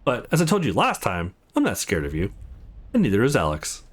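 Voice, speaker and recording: A man's voice: lowish voice, huge pitch swings, a little fast, slightly rough, exaggerated voice. A man voicing a defiant but rattled young male hero in a visual novel, blunt and agitated.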